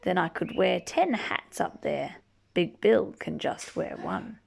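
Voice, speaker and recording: Female storybook reader, playful and very lively in pitch, slow-paced with some creak, stretching words for young listeners. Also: slight background noise. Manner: playful, drawn-out emphasis. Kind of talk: animated children's read-aloud with asides.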